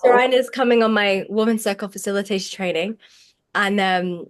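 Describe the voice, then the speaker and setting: A woman's voice: mid-range voice, fairly quick. A woman hosting an online session, talking in a chatty, rambling way full of likes. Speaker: program host, facilitator. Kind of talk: casual video call chat. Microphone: video call.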